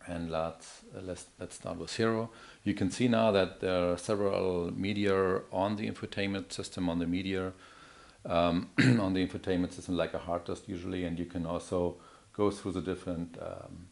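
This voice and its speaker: A man with a low voice walks through a product demonstration. He speaks unscripted, in a hesitant, explanatory manner, as a presenter showing how something works. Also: steady tone, normal speed, vocal fry, a little mellow. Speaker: product demonstrator, account manager. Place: indoor office or showroom.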